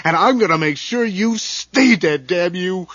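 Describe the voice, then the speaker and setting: An actor playing a tormented man in his thirties defying his dead twin in a horror audio drama, strained and halting. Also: high-pitched, animated, dull audio, a crowd in the background. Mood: angry defiance. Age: 30s.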